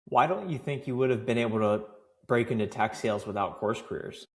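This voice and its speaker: A man's voice: medium pitch, brisk. A man hosting an interview over a video call, asking long, encouraging questions that frame the guest's story. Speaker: host.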